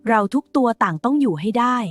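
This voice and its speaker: A woman's voice: on the high side, animated, fast talker, no echo, soft background music. A woman voicing a small, earnest, grateful animal in a children's storybook tale.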